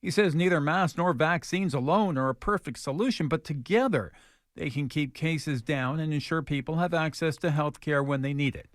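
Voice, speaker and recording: A man's voice: fairly high pitch, moderate pace, dry recording, studio quality. A man reading scripted news as a radio anchor. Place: radio studio. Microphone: close studio microphone.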